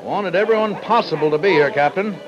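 An American actor playing a firm, by-the-book army major, an authoritative older officer in a 1950s radio western. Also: male voice, high voice, a crowd in the background, natural voice. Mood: genial. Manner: authoritative, measured, military. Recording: dull lo-fi 1950s radio recording.

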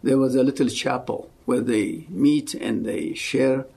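Very gravelly Ethiopian older man. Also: mid-range voice, measured pace. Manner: earnest and fervent, often dropping to a soft hush. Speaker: guest, missionary. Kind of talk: personal faith testimony.

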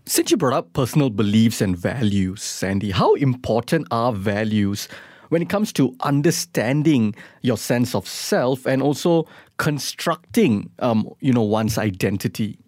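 A man's voice: mid-range voice, sing-song, a little slow, a little mellow, dry recording, clean studio sound. A man hosting an interview, putting questions to a guest in a conversational way. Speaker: interviewer, host.

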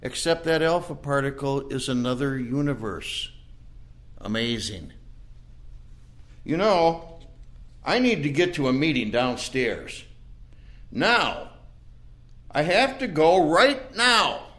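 Man acting a slow, animated old scientist. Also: on the high side, exaggerated voice. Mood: urgent. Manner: deliberate, theatrical, repeating himself. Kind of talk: audio play acting.

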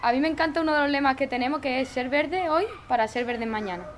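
A woman with a slightly high voice, explaining in casual scripted conversation. Faint ambient noise sits behind her. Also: fast. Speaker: footballer guest in a sketch. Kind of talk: explaining in casual acted dialogue.

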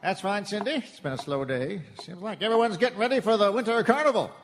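A high-pitched, folksy cafe dad. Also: male voice, background noise. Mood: easygoing. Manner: folksy, musing, drawn-out hmms. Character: folksy, easygoing small-town cafe owner, an older dad. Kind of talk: live comic radio play. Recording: reverberant live hall.

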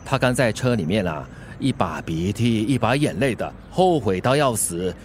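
A man's voice: fairly low pitch, lively intonation, normal speed, a little hiss or hum, natural voice. A man acting in a radio drama as a frank, kindly friend who coaxes and advises. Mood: coaxing, advising. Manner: chatty, coaxing.

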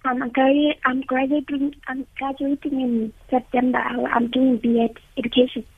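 Female caller, 20s, tiny high voice, hesitant, phone line. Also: little pitch movement, moderate pace, husky, no room sound. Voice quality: small, tiny voice. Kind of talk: call-in question on the phone.

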